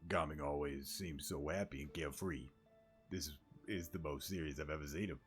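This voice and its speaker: Low-voiced man, casual game streamer. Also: moderate pace. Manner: laid-back, slangy. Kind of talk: casual let's-play commentary, reading game text aloud. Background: game music.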